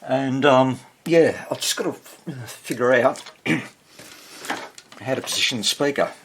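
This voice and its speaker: A man giving casual hands-on repair narration, haltingly muttering to himself as he works and thinks aloud. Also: mid-range voice, noisy background. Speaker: hobbyist radio restorer, presenter.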